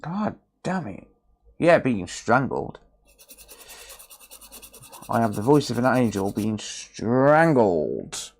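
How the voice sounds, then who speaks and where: A man's voice: mid-range voice, big pitch swings, takes it slowly, pauses often. A man chatting casually on a live stream while repairing a console, sarcastic and swearing often as he answers his chat.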